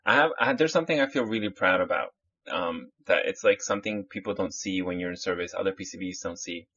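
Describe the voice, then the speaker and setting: A man from Chile chats on a podcast in a very low, husky voice, rambling through restarts and fillers. The audio is muffled and lo-fi, as if from a modest setup. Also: fast talker, sharp tone. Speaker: podcast guest. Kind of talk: casual podcast chat.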